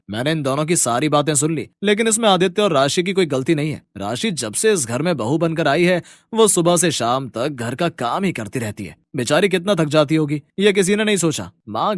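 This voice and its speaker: A man's voice: a little high, dry recording, clean studio sound, natural voice. A man voicing a sensible, fair-minded elder brother in a moral story, firm and reasoning. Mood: sympathetic, firm. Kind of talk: moral story character dialogue.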